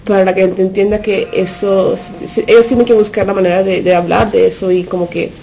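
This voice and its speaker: Woman, US-raised native Spanish speaker, radio guest, creaky voice, halting delivery, English fillers, faint background noise. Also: mid-range voice, steady tone, dark tone, poor audio. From United States. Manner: halting, searching for words, slips into English fillers. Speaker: interview guest from a punk collective. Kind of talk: unscripted radio discussion.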